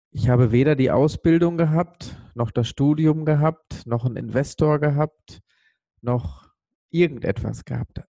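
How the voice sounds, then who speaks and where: A man delivers an earnest motivational monologue in a mellow, relaxed voice at a middling pitch. He leans on key words and pauses to let them land. The sound is dry and close, as on a podcast. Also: vocal fry, no room sound. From Sri Lanka. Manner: earnest, emphatic, pausing for weight. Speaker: speaker on a business podcast. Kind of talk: motivational monologue with personal story.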